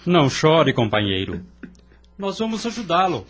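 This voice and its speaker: A Brazilian actor playing a kindly, persuasive male spirit in a radio drama, husky and reassuring. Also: fairly high pitch, very animated, normal speed, fairly bright tone. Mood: soothing. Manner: coaxing, reassuring.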